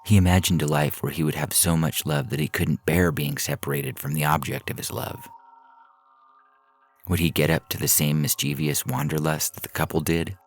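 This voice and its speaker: A man's voice: a bass voice, steady tone, normal speed, faint music behind. A man reading a science fiction story as narrator in a scripted audio fiction style.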